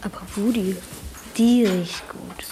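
A woman's voice: medium pitch, slow pace, warm tone, a little hiss or hum. A woman voicing a curious, candid young farm boy in a German radio drama, asking things in a direct, childlike way. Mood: candid, eager.

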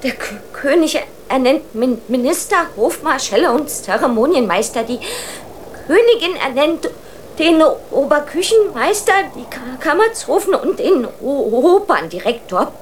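High-voiced imperious princess girl. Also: sing-song, unhurried, slight background noise. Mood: insistent, grand. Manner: grandly formal, decisive, stumbles on words. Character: imperious royal child, princess of a hard-up family. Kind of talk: German children's radio play acting.

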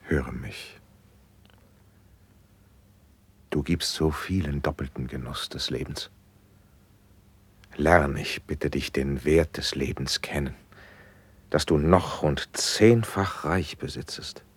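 Very deep, stately prince. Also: male voice, husky, dry recording, full character voice. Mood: gently urging. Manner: measured, stately declamation. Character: benevolent, dignified prince, paternal patron of a poet. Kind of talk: German classical verse radio drama.

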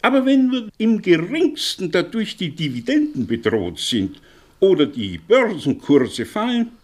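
An actor playing a cynical, eloquent middle-aged professor in a mid-century radio play, with a theatrical, sardonic delivery. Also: male voice, sits high, very animated, measured pace, heavily acted. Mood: sardonic, declamatory.

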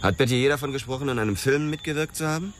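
An actor playing a blunt young detective who questions a suspect in a brusque, pressing way. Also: male voice, mid-range voice, very fast, a little hiss or hum, lightly acted. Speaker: police officer. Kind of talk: radio drama acting.

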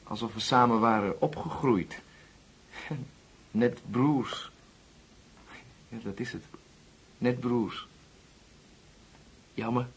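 A young orphan man in a radio drama. His voice is very husky and breathy at a middle pitch. He speaks politely and haltingly, often correcting himself. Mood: earnest, regretful. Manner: halting, apologetic, with self-corrections. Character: hesitant young orphan guest, polite, lonely, insistent. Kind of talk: Dutch radio play acting.

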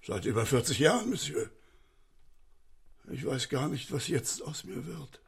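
An older man playing a distraught, deferential elderly manservant in a radio crime comedy, speaking in an agitated, halting way. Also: fairly high pitch, big pitch swings, creaky, slightly rough, exaggerated voice. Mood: anxious, downcast.

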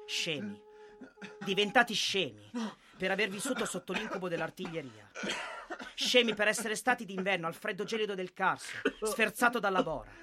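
An actor playing an anguished, bitter soldier son in an impassioned, insistent and sardonic theatrical monologue. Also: male voice, sits high, lively intonation, normal speed, crowd noise.

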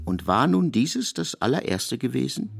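Expressive male literary narrator, gravelly edge. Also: medium pitch, music in the background. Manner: measured, expressive, elaborate long sentences.

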